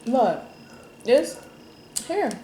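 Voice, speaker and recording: A woman's voice: high-pitched, big pitch swings, drawn-out words. A woman from Jamaica chatting casually while eating, rambling with lots of fillers and telling stories. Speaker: mukbang host. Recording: roomy with faint noise.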